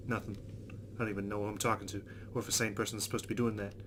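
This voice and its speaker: A man's voice: fairly low pitch, little pitch movement, rapid-fire, raised voice, background noise. A man hosting a let's play, reading visual novel narration aloud.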